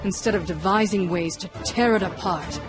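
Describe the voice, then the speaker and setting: A woman's voice: mid-range voice, normal speed, natural voice. A woman acting an earnest fantasy game figure who pleads for peace in a dramatic style. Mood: earnest reproach. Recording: dramatic music behind.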